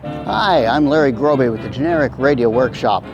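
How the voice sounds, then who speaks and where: A man's voice: mid-range voice, moderate pace, muffled recording, music in the background. A man in his sixties hosting with a scripted but chatty, conversational delivery to camera. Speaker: host.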